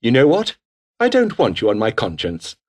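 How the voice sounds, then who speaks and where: A man's voice: medium pitch, huge pitch swings, moderate pace. A male actor voicing a menacing, foul-mouthed revolutionary foot soldier with a British accent, taunting and theatrical in video game barks. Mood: suspicious, irritated.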